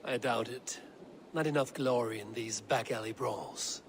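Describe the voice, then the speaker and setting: An actor playing a steadfast young Viking warrior, confident and dry, in a video game cutscene. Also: male voice, medium pitch, a little slow, a little hiss or hum, lightly acted. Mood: resolute.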